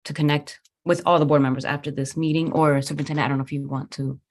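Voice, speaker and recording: A woman chairing a public meeting, moderating in a brisk, procedural way. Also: low voice, level tone, darkish tone.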